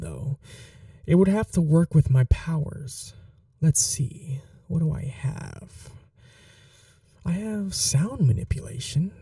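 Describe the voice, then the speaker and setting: Chatty, vain male supervillain, played by a man, smitten and casually menacing, unhurried and gravelly, ASMR roleplay. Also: medium pitch, mellow, dry recording, clean studio sound, far from the mic, heavily acted. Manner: flirtatious, casually menacing, musing aloud. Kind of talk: ASMR roleplay monologue to the listener.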